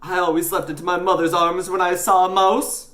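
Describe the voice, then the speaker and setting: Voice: mid-range voice, little pitch movement, a little fast, a bit bright, voice pushed up, close to an everyday voice. A storyteller voicing an easily frightened, kindly and over-dramatic newsagent man in an audiobook. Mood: sheepish, kindly.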